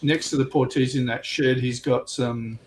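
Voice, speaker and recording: A man chatting casually as a player on a livestream, recapping a tabletop game in a halting, slangy, self-deprecating way. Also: medium pitch, steady tone, slight background noise.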